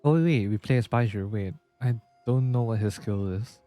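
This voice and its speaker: A man with a low, mellow voice talks casually through a video game as he plays. He reads on-screen text aloud, tripping over words here and there, while soft music plays behind him. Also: moderate pace. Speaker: livestreamer.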